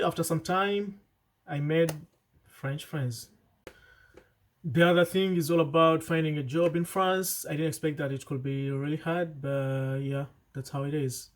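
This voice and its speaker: Earnest young man giving vlog advice. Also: on the high side. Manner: earnest, talks in restarts and asides. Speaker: vlogger. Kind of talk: casual vlog advice to camera.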